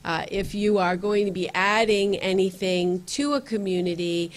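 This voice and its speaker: A county legislator, a woman, addresses a community meeting in a room and pauses often with fillers and restarts. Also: medium pitch. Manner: earnest, with frequent fillers and restarts. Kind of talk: campaign speech at community meeting.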